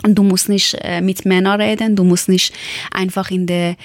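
A woman from Iran with a Persian accent, speaking German as a second language and telling a personal account haltingly, often searching for words. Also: medium pitch, level tone, dry recording, studio quality. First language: not German. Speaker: former teacher telling her life story.

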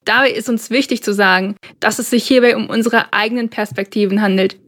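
A young woman co-hosts a podcast conversation. Her voice sits a little above average in pitch. She speaks earnestly and carefully. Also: steady tone, fairly quick, fairly bright tone. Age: young adult. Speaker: podcast co-host.

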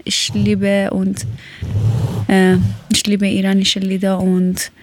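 A woman, chatting casually and often pausing to search for words. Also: mid-range voice, monotone, no echo. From Afghanistan. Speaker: conversation partner. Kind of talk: casual chat in learner German.

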